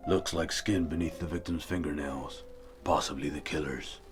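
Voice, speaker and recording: An actor playing a grim, brooding masked crime-fighter in an audio drama, speaking in terse, commanding phrases. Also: male voice, a bass voice, steady tone, moderate pace. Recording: music behind the voice.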